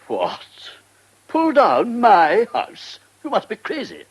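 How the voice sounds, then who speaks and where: An actor with an English accent playing a pompous, irascible government minister in a British radio drama, scolding and blustering. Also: male voice, high-pitched, wildly swooping pitch, slow, slightly rough, heavily acted. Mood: indignant bluster. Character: pompous irascible male government minister, middle-aged or older.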